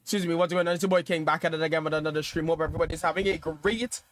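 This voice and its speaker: A sniffly young man from Trinidad and Tobago runs his own gaming livestream, chatting casually into a desk mic. His voice sits high for a man, and he rambles and hums to himself while getting set up. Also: fairly even intonation, very fast, a bit bright, no room sound. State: sniffly from dust.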